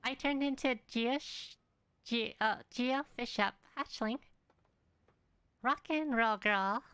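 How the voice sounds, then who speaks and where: Bright, crisp-voiced casual woman game streamer. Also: fairly high pitch, takes it slowly, no echo. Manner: informal, playful asides. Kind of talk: casual reaction commentary while playing.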